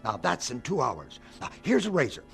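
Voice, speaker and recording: An actor playing a busy small-town barber shop owner in a radio drama, briskly giving instructions. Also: male voice, medium pitch, huge pitch swings, vocal fry, soft background music. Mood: brisk, demanding.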